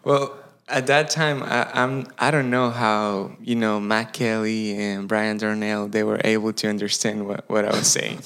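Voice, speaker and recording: A man's voice: fairly low pitch, little pitch movement, a bit bright, clean tone. Costa Rican-accented man, earnest pastor. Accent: Costa Rican Spanish. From Costa Rica. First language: Spanish. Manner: earnest, speaks slowly and restarts phrases while searching for English words. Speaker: campus pastor. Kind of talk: interview guest, casual telling of his story.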